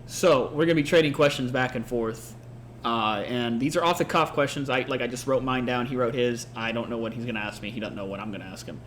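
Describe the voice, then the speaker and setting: A man's voice: mid-range voice, quick pace, fairly bright tone. A man from Mexico co-hosting a podcast, talking in an earnest, casual way with many fillers. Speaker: podcast co-host. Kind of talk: casual unscripted conversation. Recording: faint background noise.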